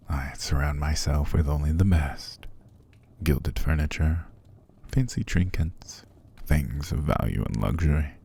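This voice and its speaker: Man acting a very deep, gravelly vampire master. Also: darkish tone, heavily acted. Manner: slow, condescending, commanding, drifting into whispers. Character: cruel, haughty, possessive undead male vampire master. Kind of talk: ASMR roleplay, scripted character monologue.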